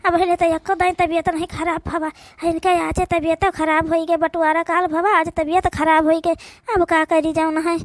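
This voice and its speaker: A very high-pitched woman's voice with an Awadhi accent, recorded dry and close. She acts out village family drama for a cartoon, complaining in colloquial rural speech. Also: little pitch movement, moderate pace, no echo. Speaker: cartoon voice performer.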